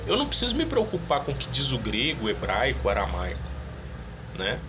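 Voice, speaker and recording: A man's voice: medium pitch, huge pitch swings, fairly quick, music in the background. A man giving his opinion in a casual monologue, earnestly reading Bible verses aloud. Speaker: religious video creator.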